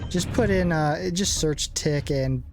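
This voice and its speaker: A man's voice: on the high side, takes it slowly, creaky. Rambling young man over game music. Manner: rambling, joking, self-deprecating asides. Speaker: gaming channel host. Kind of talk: casual gameplay commentary. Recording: game music playing behind.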